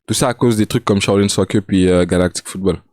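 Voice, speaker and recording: A young man, a reaction streamer, telling a story in casual, slangy with lots of restarts and sudden bursts of excitement. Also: low-pitched, fairly quick, no echo. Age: young adult.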